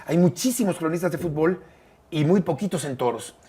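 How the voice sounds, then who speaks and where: Expressive Mexican man in his fifties. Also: fairly high pitch, fairly quick, slightly rough. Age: 50s. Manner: expressive, emphatic delivery with frequent "eh" fillers. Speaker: sports commentator guest. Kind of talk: conversational interview storytelling.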